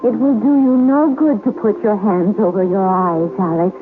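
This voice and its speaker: An actress playing the ghost of a murdered wife in a radio drama, coquettish and menacing, with theatrical, taunting delivery. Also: female voice, medium pitch, steady tone, moderate pace, noisy background, lightly acted. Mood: eerie, taunting. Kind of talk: 1970s radio drama acting. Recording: muffled old radio broadcast, music underneath.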